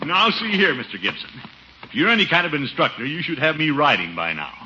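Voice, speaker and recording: Blustering, swooping American radio uncle. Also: male voice, a little high, sing-song, fairly quick, pushed and bright, soft background music, exaggerated voice. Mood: indignant. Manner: blustery, muttering asides. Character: pompous, blustering middle-aged uncle, flustered and vain. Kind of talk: 1950s radio sitcom acting. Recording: noisy, muffled 1950s radio recording.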